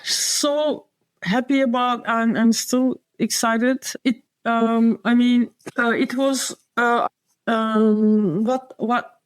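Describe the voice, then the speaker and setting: A woman's voice: a little high, steady tone, slow pace, clear, resonant tone, no room sound, poor audio. A woman with a Turkish accent, a designer and publisher talking as an interview guest, hesitant and circling back to her point. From Turkey. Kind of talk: casual interview conversation.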